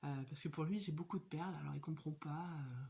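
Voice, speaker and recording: A woman in her thirties with a cold, chatting casually and hesitantly about her crafting hobby, with many pauses and fillers. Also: deep voice, creaky, dark tone, a little hiss or hum. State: has a cold. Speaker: hobby vlogger. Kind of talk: casual show-and-tell chat.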